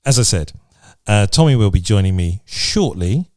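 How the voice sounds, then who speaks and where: A man's voice: lowish voice, animated, moderate pace, a little mellow, dry recording, studio quality. A man hosting a podcast in a casual, conversational style. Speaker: podcast host.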